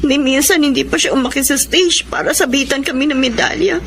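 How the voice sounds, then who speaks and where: An actress as a high-voiced, emotive young daughter. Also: female voice, brisk, natural voice. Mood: tearful, hurt. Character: sensitive student daughter, hurt and yearning for approval. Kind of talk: emotional Tagalog radio drama acting. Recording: noisy background under voice.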